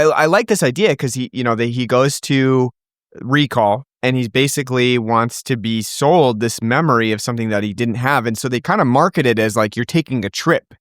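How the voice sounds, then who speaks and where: A man co-hosting a film podcast in casual chat, explaining ideas in a relaxed, easygoing way. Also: mid-range voice, no echo, studio quality. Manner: relaxed, explanatory, laughs often. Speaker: podcast co-host. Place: podcast studio.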